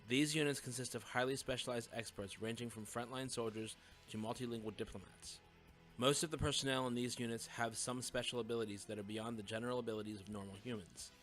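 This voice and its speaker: A man's voice: mid-range voice, steady tone, quick pace, fairly bright tone, soft background music, close to an everyday voice. A man playing an academy student, formally reading a definition aloud in a scripted audio drama. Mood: formal, informed.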